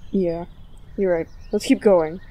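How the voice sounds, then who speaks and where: A woman playing a level-headed, thoughtful child adventurer in an audio drama, with a calm, measured delivery. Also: lowish voice. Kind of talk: fan audio drama acting.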